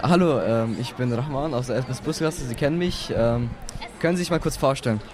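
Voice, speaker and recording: A man's voice: medium pitch, fairly quick. A young male student interviewing a teacher outdoors, reading prepared questions with frequent hesitations. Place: outdoors at a street festival.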